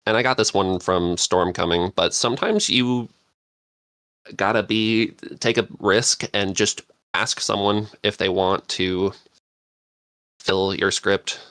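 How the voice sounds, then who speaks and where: A man giving casual advice as a podcast panelist, halting and thinking aloud as he goes. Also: deep voice, steady tone, fairly bright tone, dry recording. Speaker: podcast panelist, script writer.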